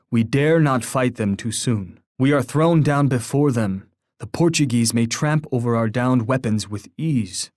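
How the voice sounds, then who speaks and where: An actor voicing a grave, dignified war leader in a video game, speaking in a measured, oratorical and formally poetic way. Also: male voice, mid-range voice, no echo, studio quality, lightly acted. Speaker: game character voice. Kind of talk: scripted video game voice lines.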